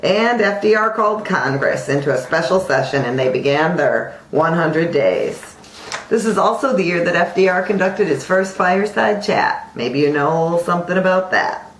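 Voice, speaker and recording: A woman's voice: on the low side, animated, moderate pace, roomy sound, a little hiss or hum. A woman co-hosting an informal mock news broadcast in a chatty, teasing way. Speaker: amateur newscast co-host.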